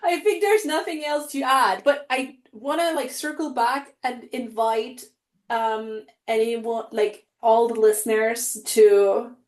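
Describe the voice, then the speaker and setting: A woman's voice: fairly high pitch, fairly even intonation, takes it slowly. A woman hosting a podcast, outspoken and enthusiastic, swearing casually. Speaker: podcast host. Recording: roomy echoey room.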